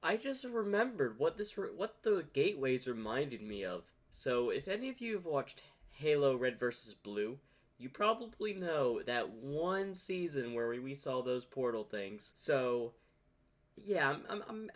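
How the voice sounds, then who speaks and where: Lively, casual male reactor. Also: medium pitch, measured pace. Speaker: reaction video host.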